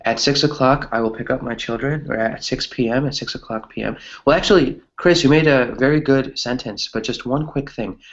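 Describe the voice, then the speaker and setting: A man's voice: mid-range voice, fairly quick, hardly any pauses, dull audio. A man teaching an English grammar lesson conversationally, encouraging his students and often checking in with "right?".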